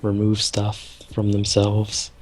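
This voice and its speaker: A man's voice: on the low side, completely flat delivery, slow, low-quality recording. A man co-hosting a podcast, tossing in dry, teasing remarks. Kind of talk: casual chat.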